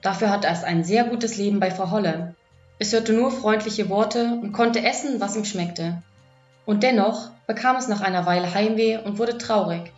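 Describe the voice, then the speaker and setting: A woman's voice: medium pitch, normal speed, a little mellow. A woman narrating a scripted fairy tale for children as an audiobook storyteller. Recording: echoey, dull lo-fi, music behind. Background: music.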